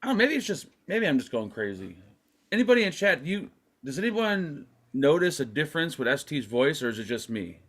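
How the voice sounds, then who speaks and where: A man's voice: fairly high pitch, big pitch swings, a bit bright. A man co-hosting a live vape show, joking around and rambling in casual chat. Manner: joking, rambling, quick asides. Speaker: co-host.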